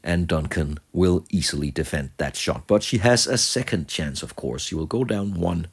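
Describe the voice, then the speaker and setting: A man narrating a tabletop wargame play by play in measured, deliberate short phrases. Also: deep voice, animated, gravelly, breathy edge. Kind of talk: play-by-play narration of miniature game moves.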